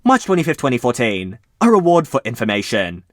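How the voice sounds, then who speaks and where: A man reads mystery posts aloud for his channel, his voice rising and falling with lots of movement while staying mid-pitched. He drops in casual asides between the facts. The sound is close and dry, with no room around it. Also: brisk, no room sound. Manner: lively storytelling delivery. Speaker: channel host reading posts.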